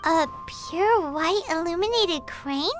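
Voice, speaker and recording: Squeaky, excitable tiny companion. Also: female voice, takes it slowly. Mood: perky. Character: tiny, perky, excitable fairy-like companion with childlike voice. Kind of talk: video game voice acting. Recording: music behind the voice.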